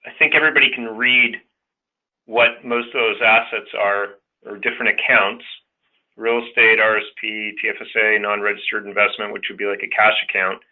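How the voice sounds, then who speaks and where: A man's voice: lowish voice, steady tone, fairly quick. A man co-hosting a client webinar as a financial advisor, explaining things conversationally over the phone. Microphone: telephone. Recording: tinny, narrow phone line.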